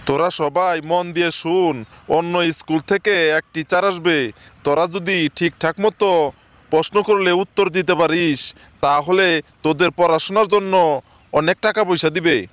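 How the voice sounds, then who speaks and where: A man plays a fussy cartoon schoolmaster with a fairly high male voice. He lectures and scolds his pupils, always on the edge of exasperation. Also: a little slow, fairly bright tone. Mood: instructive. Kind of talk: comedy cartoon dialogue.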